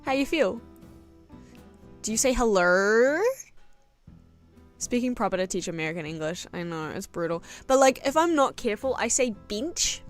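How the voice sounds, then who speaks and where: A woman's voice: medium pitch, expressive, moderate pace, pauses often, soft background music. A young woman with a New Zealand accent chatting casually while drawing on stream, rambling with lots of fillers. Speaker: art streamer and English teacher.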